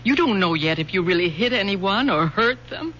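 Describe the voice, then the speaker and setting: An actress playing a bitter, lonely middle-aged mother who speaks softly and sounds wounded, in an old radio drama. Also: mid-range voice, expressive, normal speed. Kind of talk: 1970s radio drama acting. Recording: dull lo-fi old radio broadcast.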